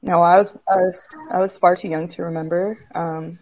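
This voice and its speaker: A woman guest in a relaxed podcast conversation. Her voice sits low and has a mellow, warm tone. She grew up in Canada after being born in Kenya and talks in an informal, conversational way. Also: normal speed, rough recording. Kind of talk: casual conversational interview.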